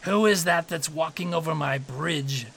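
Man acting out a yelling, scary storybook troll. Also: a little high, lively intonation, measured pace, gravelly, slightly rough, full character voice. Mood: challenging. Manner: yelling, threatening. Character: large scary male troll, menacing and yelling.

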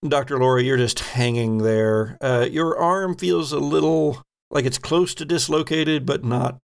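A man narrating a tabletop role-playing game as game master, in a measured, halting way as he thinks aloud. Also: mid-range voice, vocal fry, smooth.

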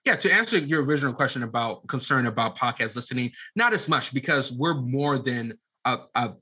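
A man in his late twenties with a bright, forceful voice at an ordinary male pitch. He talks as an interview guest explaining his work, emphatic and halting, often doubling back to repeat the start of a phrase. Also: animated, husky. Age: late 20s. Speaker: podcast guest, media app founder. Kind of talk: conversational interview answer, explaining a venture.

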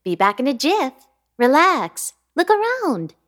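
A creepy young landlady in a radio play, sing-song and showy, her voice swooping high and low with dramatic flair. She drags out words and sounds sweet with an eerie edge underneath. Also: huge pitch swings, a bit bright, exaggerated voice. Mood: coaxing. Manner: lilting, grandly affected, drawn-out words. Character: eerie, theatrical young woman landlady, unsettling.

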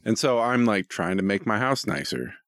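A man's voice: fairly low pitch, animated, moderate pace, fairly bright tone, no echo, studio quality. A middle-aged American man chatting casually as a podcast co-host, rambling and self-deprecating, with some easy swearing. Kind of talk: casual chat.

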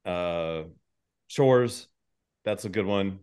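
A man with a fairly low voice hosts a casual podcast chat. He talks in a rambling way, working out his thoughts aloud, and drops swear words easily. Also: very slow, darkish tone, smooth. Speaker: podcast host.

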